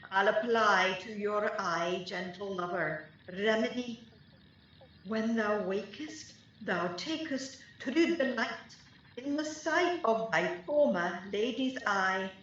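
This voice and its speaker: A woman's voice: on the high side, bright, forceful tone, a little hiss or hum, heavily acted. A woman acting a mischievous, taunting fairy sprite in a Shakespearean radio play, speaking sing-song rhymed verse. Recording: roomy, echoing, distant, slightly dull.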